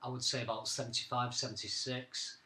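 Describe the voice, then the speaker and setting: Bright, echoey man around forty. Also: medium pitch, flat delivery, a little fast. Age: late 30s to early 40s. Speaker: podcast co-host. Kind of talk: casual reminiscing chat. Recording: roomy, echoing amateur recording.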